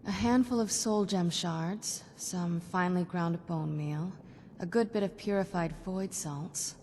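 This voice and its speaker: A woman voicing a shrewd, composed young female vampire necromancer in a video game, speaking in a measured, dry, musing way. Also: lowish voice, a little mellow, a little hiss or hum, natural voice. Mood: intent, explanatory. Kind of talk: video game character dialogue.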